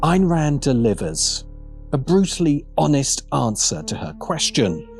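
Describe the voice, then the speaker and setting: A man's voice: a little high, big pitch swings, unhurried, faint music behind. A man narrating a scripted video essay as its presenter.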